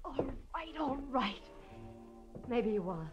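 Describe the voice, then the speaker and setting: A hard-boiled dame from 1950s radio noir, sassy and defiant. Her pitch swings widely as she trades slangy barbs. It is an old radio recording with music behind her. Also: big pitch swings, takes it slowly, lo-fi sound. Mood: defensive, irritated. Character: hard-boiled, defiant female murder suspect. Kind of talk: 1950s radio crime drama acting.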